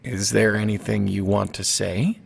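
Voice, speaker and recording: Gravelly, imperious boss. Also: male voice, lowish voice, steady tone, moderate pace, noisy background. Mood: commanding. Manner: commanding, pointed questioning. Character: imperious, impatient powerful male boss, coolly menacing. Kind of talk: sci-fi audio drama acting.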